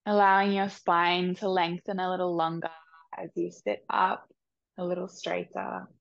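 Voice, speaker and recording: A woman leading a guided meditation with soft, soothing instructions. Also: on the low side, level tone, vocal fry, no room sound, highs cut off. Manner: soft, slow, soothing instructions with long pauses.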